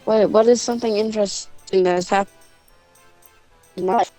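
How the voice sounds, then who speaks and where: Woman co-hosting casually, medium pitch. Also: fairly even intonation, normal speed, mellow, soft background music. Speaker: co-host of a story-time show. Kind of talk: casual storytelling chat.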